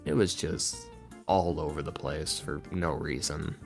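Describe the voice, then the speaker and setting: A man's voice: very deep voice, unhurried, gravelly, soft background music. A man speaking as a podcast guest in a casual, reflective way, hesitant and self-deprecating as he rambles candidly. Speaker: podcast guest, ASMR roleplay audio creator. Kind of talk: casual reflective interview answers.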